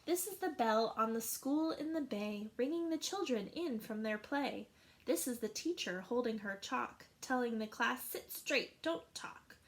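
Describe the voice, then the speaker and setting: A woman teacher reading a rhyming picture book aloud with slow, expressive narration. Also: on the high side, slight background noise. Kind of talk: storybook read-aloud.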